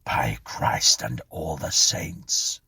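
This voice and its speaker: A man voicing a dying, malevolent man in a storybook, breathy, rasping and menacing as he draws out his words. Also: a bass voice, extremely slow, bright, forceful tone, whispered, pitched below the performer's own voice, full character voice. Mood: vengeful. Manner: menacing, drawn out.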